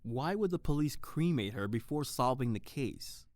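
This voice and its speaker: A man playing a theatrical, flirtatious detective who narrates his own case in an audio drama, in a deliberate noir manner. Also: medium pitch, expressive, darkish tone, dry recording, clean studio sound, lightly acted. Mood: businesslike.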